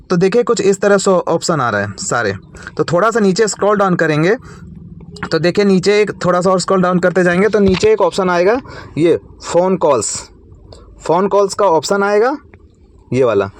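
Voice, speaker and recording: A man's voice: high voice, slight background noise. An Indian man hosting an informal tech tutorial, explaining each step in a repetitive way.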